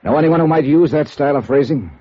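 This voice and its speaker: Guy doing hard-boiled american radio detective, clipped and dry, mellow voice, dull old broadcast sound. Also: a little high, fast talker, lightly acted. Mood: probing, brisk. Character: wry, hard-boiled male freelance insurance investigator. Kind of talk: 1950s radio detective acting and first-person narration. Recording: dull 1950s radio recording, faint music.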